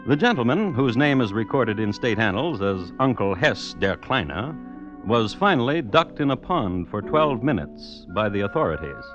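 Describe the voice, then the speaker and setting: Low, swooping, wry radio storyteller. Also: male voice, sing-song, moderate pace. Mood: droll. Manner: wry, droll, mock-solemn. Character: wry male storyteller of macabre tales. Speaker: narrator. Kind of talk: scripted old-time radio narration. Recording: muffled vintage radio recording. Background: music.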